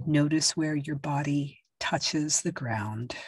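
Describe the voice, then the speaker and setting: A woman guides a relaxation meditation in a low, husky voice. She speaks very slowly and gently, leaving long pauses between soft instructions. The recording is dry and close. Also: no room sound. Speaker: yoga nidra teacher.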